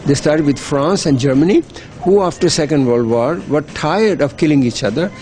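A man's voice: fairly high pitch, normal speed, a little mellow, soft background music. Earnest middle-aged man. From Pakistan. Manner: earnest, persuasive, repeats words when restarting. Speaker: interviewee, NGO worker. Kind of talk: earnest interview answers. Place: hotel.

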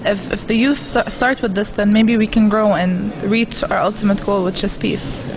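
A woman's voice: mid-range voice, steady tone, normal speed, crowd noise, rough recording. Earnest young woman. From Lebanon. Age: young adult. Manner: earnest, builds points by repeating phrases. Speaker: refugee resettlement worker. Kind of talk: interview remarks at a youth forum.

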